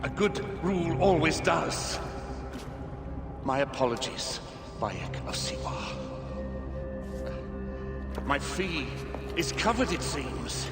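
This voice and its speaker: A man's voice: fairly high pitch, animated, takes it slowly, pauses often, roomy sound, over loud music. An actor playing a proud, weary Egyptian man of power in a video game. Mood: resigned, dignified. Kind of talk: dramatic video game acting.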